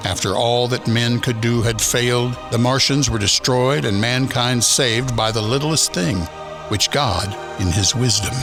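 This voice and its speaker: A man's voice: medium pitch, measured pace, close to an everyday voice. Man as a solemn narrator over music. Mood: grave. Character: solemn male narrator of a world-ending science fiction tale. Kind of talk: dramatic scripted narration.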